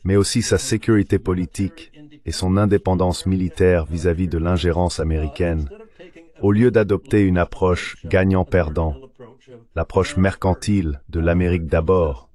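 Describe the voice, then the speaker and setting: A man with a very low voice delivers a translated interview voice-over. He speaks in a formal, measured way, reading out economic analysis as an expert guest would. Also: fairly even intonation, dark tone.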